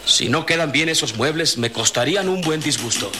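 A Mexican actor playing a cautious, hesitant middle-aged master cabinetmaker in a radio drama. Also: male voice, a little high, expressive, brisk, close to an everyday voice. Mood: grumbling, worried. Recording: noisy old radio drama recording.

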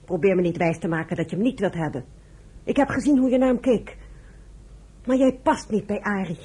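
An actress playing a fierce, blunt young kibbutz fighter woman with a husky voice in a radio play. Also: medium pitch, fairly quick, mellow. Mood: hostile, challenging. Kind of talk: Dutch radio play acting.

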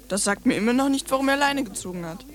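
A woman's voice: on the high side, expressive, racing, close to an everyday voice. A woman playing a brash, foul-mouthed, stubborn young girl in a radio drama, bluntly rude and insistent. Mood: cheeky, probing.

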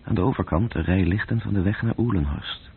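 A man narrating a literary radio drama in terse, measured phrases, with a gravelly, breathy voice. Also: sits low. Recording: muffled, tinny old radio-play recording.

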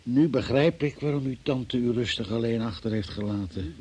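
An actor playing a stern magistrate in a radio drama, questioning a suspect in an insistent, patronising and sceptical manner. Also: male voice, mid-range voice, normal speed, a little mellow, breathy edge, natural voice. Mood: accusing.

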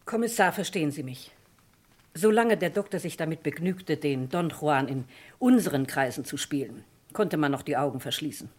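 A woman's voice: sits low, animated, normal speed, darkish tone. An actress playing a guarded, reluctant lady who defends her friend under questioning in a German radio crime drama, speaking formally and earnestly.

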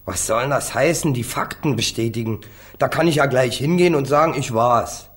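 A man's voice: medium pitch, fairly quick, lightly acted. Cocky, bitter young crook, played by a man. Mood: defiant, irritated. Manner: flippant, slangy, defiant. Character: cocky, bitter young small-time crook, street-tough and defiant. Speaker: actor playing a defendant. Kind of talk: German radio crime drama acting.

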